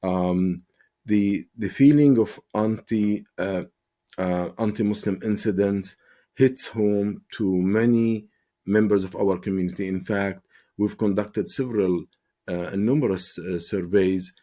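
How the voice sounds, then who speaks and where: A man speaking with a low, mellow voice as an advocacy spokesman in a TV interview. He talks earnestly and with passion, often pausing on fillers and restarting his sentences. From Palestine. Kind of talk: TV interview answers.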